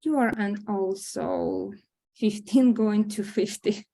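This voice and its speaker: Slow, soft-toned grandmother, played by a woman. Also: mid-range voice, vocal fry. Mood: fond. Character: loving, apologetic grandmother. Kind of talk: drama table read.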